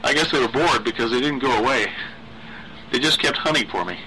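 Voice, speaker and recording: Man acting a timid teenage outcast, unhinged, stuttering, rising pitch, sharp bright tone, noisy recording, storybook horror. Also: lightly acted. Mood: agitated. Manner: halting, repeating words as he stammers.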